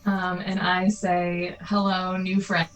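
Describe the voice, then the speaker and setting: A woman's voice: on the low side, little pitch movement, takes it slowly. A woman playing a tabletop game, hesitant and giggly. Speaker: player. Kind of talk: casual tabletop play. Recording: echoey room, faint noise.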